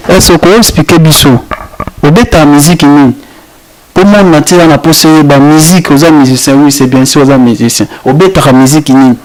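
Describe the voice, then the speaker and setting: Man, slightly high voice, interviewer, repeats his channel name, lo-fi sound. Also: a little fast. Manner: repetitive channel plugs, promotional patter. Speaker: interviewer, web channel host. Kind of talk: street interview, mixing French and Lingala.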